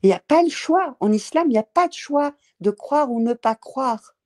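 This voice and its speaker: A woman speaking in a casual panel discussion, emphatic and halting, with many fillers. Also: high-pitched, animated, darkish tone.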